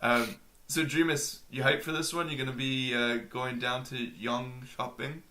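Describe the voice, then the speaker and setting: A man's voice: mid-range voice, level tone, a little slow, sharp tone. A man hosting a casual esports chat, joking and steering the talk with questions. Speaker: podcast host.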